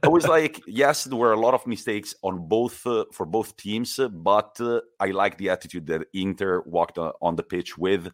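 Low-pitched man, emphatic football chat, dry close sound. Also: normal speed, few pauses, dry recording. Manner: animated, emphatic storytelling. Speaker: podcast co-host.

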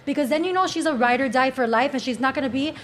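A woman with a Cuban accent and a fairly high voice speaks as a podcast panelist. She argues earnestly and draws on her own life to make her points. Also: fast, a bit bright. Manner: earnest, persuasive, speaks from personal experience. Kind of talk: casual panel discussion.

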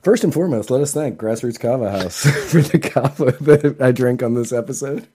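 Mellow American man, rambling podcast co-host. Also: mid-range voice, normal speed, slight background noise. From Northern Virginia, United States. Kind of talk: casual conversational podcast chat.

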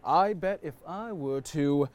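A man's voice: fairly high pitch, slow pace, over music. A man playing a pompous, fussy swordsman in a fantasy audio drama, speaking in a formal way. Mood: curious, stubborn.

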